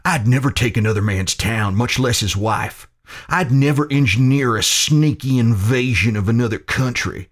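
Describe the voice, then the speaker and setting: A man's voice: medium pitch, moderate pace, voice pushed up, natural voice. A man voicing a hot-tempered American army captain, a defiant action hero in an audiobook, with a clenched, heroic delivery.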